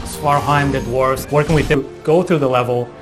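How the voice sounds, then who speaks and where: A man's voice: medium pitch, fairly even intonation, fairly quick, over music. A man speaking as an art director in a documentary-style interview.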